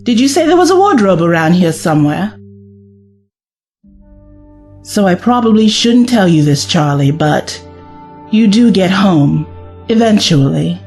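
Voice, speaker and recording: A woman's voice: mid-range voice, big pitch swings, unhurried, pauses often, darkish tone. A woman playing a kind, reassuring young time-travelling companion in an audio drama. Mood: confiding. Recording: music under the voice.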